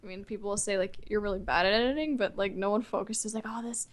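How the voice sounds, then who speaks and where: A woman talks casually as a guest on a podcast. Her voice sits at a medium pitch, and she is informal and giggly, with "like" scattered through her sentences. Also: fairly even intonation, quick pace. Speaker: podcast guest, amateur voice actor. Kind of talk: casual chat.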